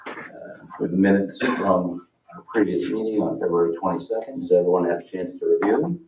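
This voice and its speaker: Deep-voiced male chair in an echoey room. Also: fairly quick. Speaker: council meeting chair. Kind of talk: brisk formal meeting procedure. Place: town council meeting room. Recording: echoey room, distant mic, dull, noisy.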